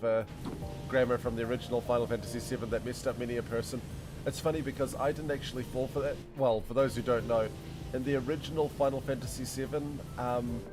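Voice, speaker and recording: A man streaming a game, chatting casually and rambling to his viewers with frequent laughs. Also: mid-range voice. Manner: chatty, rambling, laughs often, talks to chat. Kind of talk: casual gameplay commentary. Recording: game music under the voice. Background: game music.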